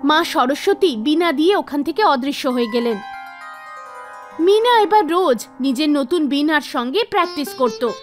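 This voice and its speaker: Woman narrator, scripted children's storytelling, very high pitch, music in the background. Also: expressive, normal speed.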